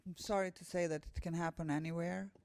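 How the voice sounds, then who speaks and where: A woman's voice: on the low side, fairly even intonation, fast talker, a little mellow, slight background noise. Albanian-accented woman, a poet speaking publicly. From Kosovo. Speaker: visiting poet, guest speaker. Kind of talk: public talk and poetry reading. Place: room with a live audience.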